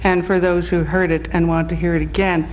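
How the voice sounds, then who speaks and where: Low, even-toned woman hosting. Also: fairly quick, a little hiss or hum, low-quality recording. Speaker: interview show host. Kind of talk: spoken request before an audience. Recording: archival television studio recording.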